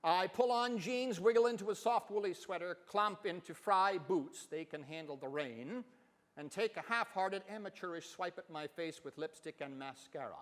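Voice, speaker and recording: A man with a fairly high voice reads literature aloud in a reverberant hall. His phrasing is deliberate and theatrical, swelling for emphasis as in a staged dramatic reading. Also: a bit bright. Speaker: professor giving a dramatic reading.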